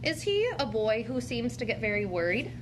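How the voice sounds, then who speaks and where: A woman's voice: on the high side, very animated, moderate pace. A woman teacher demonstrating an interactive read-aloud, speaking to a child in an encouraging, questioning way. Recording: clear background noise.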